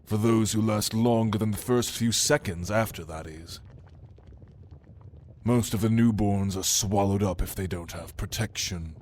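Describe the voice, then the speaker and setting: A man voicing a prideful, menacing demon in an ASMR roleplay, speaking with deliberate mockery in low murmurs that dip toward a whisper. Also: darkish tone. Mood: menacing, taunting. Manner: slow, deliberate, menacing, mocking. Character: sinister, prideful male demon, a prince's cunning right hand. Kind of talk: ASMR roleplay monologue to the listener.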